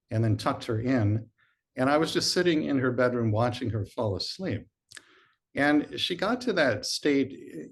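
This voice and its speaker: This man is hosting a group session and telling stories in a relaxed way. He is a little congested from a sinus infection. His voice sits in the middle range, and his delivery is loose, with some restarts. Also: mid-range voice. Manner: relaxed storytelling with filler uhs and repeated words. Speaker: host. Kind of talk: casual spoken talk to a group.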